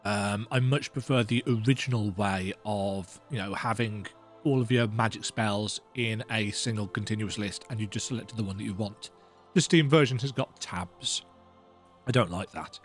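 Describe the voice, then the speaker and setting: A man's voice: on the low side, moderate pace, faint music behind. A man in his thirties giving casual, rambling game commentary in a reflective, self-deprecating, conversational way. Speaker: video game let's play host.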